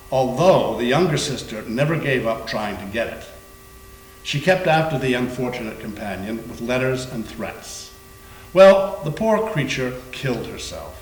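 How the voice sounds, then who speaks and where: A man playing an erudite investigator of haunted houses in a staged reading, telling his ghost story gravely with deliberate pauses. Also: mid-range voice, natural voice. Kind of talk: staged readers theater performance. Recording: echoey room with faint hum.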